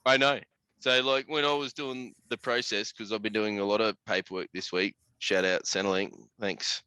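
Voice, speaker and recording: A man's voice: on the low side, fairly even intonation, normal speed, pushed and bright, clean tone, no room sound, low-quality recording. An Australian man chatting casually as a podcast host, blokey and sweary, full of slang.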